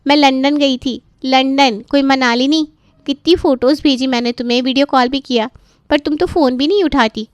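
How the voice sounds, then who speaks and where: A woman's voice: high voice, fairly even intonation, normal speed, no room sound, natural voice. A woman voicing a chatty grown daughter who fusses over her mother in a cartoon story, full of questions. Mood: chatty, concerned. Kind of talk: animated moral story dialogue.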